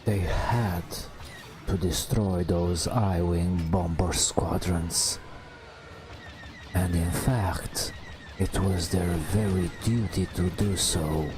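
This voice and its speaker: A man softly narrating a video game as an ASMR bedtime story, hushed and drawn out, with long pauses. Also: low-pitched, steady tone. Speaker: host narrating gameplay. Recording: music behind the voice. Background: game music.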